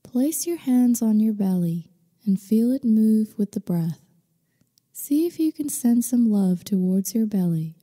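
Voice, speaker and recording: A woman guiding a meditation in slow scripted narration, with a soothing, unhurried, gently instructing manner. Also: mid-range voice. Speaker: meditation guide. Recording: long reverberant hall-like echo.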